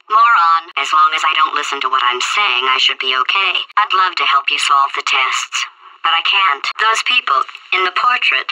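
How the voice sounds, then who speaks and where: A woman voicing a sarcastic female computer AI stuck in a potato, with a robotic processed voice and deadpan insults. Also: fairly low pitch, rough recording. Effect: robotic synthetic processing. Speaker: video game character. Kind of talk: game voice acting.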